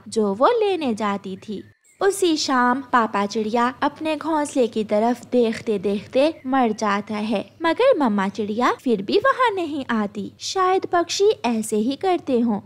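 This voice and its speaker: A woman narrating a scripted children's story with expressive storytelling delivery. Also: on the high side.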